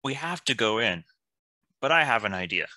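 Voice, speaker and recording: Man playing a bright, punchy, kindly warrior hero. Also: medium pitch, very animated, normal speed, no room sound. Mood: helpful. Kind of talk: animated fantasy table read acting.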